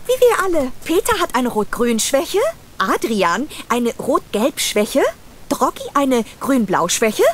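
Very high, excitable girlish cartoon adventurer. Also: slow, slight background noise, exaggerated voice. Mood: giggly, apologetic. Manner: gushing, giggly, sing-song. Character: chirpy, excitable, girlish woman adventurer, naive and friendly. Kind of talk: cartoon adventure game acting.

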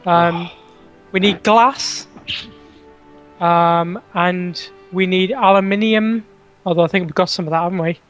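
A man co-hosting a game stream in casual chat, teasing and quick to giggle while he plans out loud, on a headset mic. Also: high-pitched, fairly even intonation. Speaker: co-host. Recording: faint game music behind.

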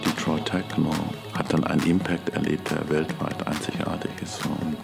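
A man giving reflective interview talk in measured phrases with pauses between them. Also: extremely low pitch, little pitch movement, creaky. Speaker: interviewee, techno scene witness. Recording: electronic music bed behind voice. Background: electronic music.

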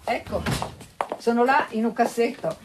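An actress playing a chatty, obliging building caretaker in a radio drama. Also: female voice, on the high side, lively intonation, measured pace. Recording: echoey room, clear background noise, clicks.

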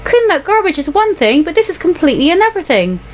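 Woman as a flustered, high-pitched student heroine. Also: big pitch swings, fast. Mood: panicked. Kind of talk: visual novel inner monologue.

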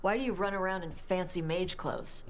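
Low-voiced, blunt young warrior woman. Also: slight background noise, lightly acted. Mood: mocking. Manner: blunt, halting. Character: gruff, awkward young horned warrior, blunt and defensive. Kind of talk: video game character dialogue.

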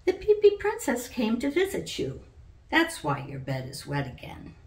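A woman's voice: fairly high pitch, wildly swooping pitch, normal speed, darkish tone, voice pushed up. A woman voicing a loving, reassuring mother in a storybook, patient and soothing, in a roomy recording. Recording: roomy, distant from the mic.

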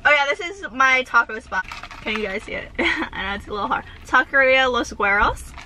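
Young woman, bubbly filler-heavy car vlog, bright, slightly high voice, recorded on a dashboard camera. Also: lively intonation, a little fast, slight background noise, low-quality recording. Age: young adult. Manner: bubbly, says "like" constantly, giggly. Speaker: vlogger. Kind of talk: casual chatty vlog with eating. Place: inside a parked car. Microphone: camera on dashboard facing her.

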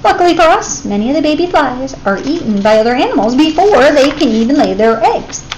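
A woman reading a children's picture book aloud in an expressive teacher's manner, addressing young listeners. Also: high voice, fairly quick, poor audio. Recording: clear background noise.

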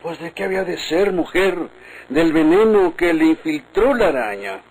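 An actor playing an exasperated, defensive village official in a Mexican radio drama, with a husky voice and Mexican accent. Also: male voice, fairly high pitch, lively intonation, moderate pace, darkish tone, rough recording. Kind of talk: vintage Mexican radionovela acting.

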